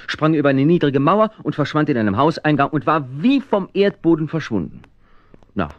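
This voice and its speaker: An actor playing a resolute, caring man who narrates his own adventure in a radio play, expressive and conversational. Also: mid-range voice, no room sound, dull audio. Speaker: narrator and protagonist.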